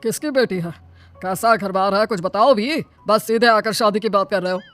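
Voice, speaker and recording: A woman's voice: mid-range voice, moderate pace, a bit bright, lightly acted. A woman voicing a stern middle-aged father in a storybook tale, interrogating and reproachful. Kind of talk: storybook character dialogue. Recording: background music under the voice.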